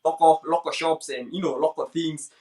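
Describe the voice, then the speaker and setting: A man with a slightly high voice hosts a relaxed interview. He speaks thoughtfully and often pauses on "uh" and "you know" as he gathers his ideas. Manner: reflective, with many fillers. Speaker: host. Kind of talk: casual interview chat.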